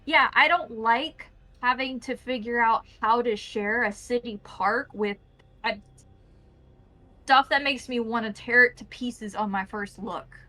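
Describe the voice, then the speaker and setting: A woman's voice: fairly high pitch, measured pace, pushed and bright. A woman playing a captured female vampire drifter in a tabletop game, wary and frustrated, rambling as she bargains. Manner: rambling, mouthy, pleading.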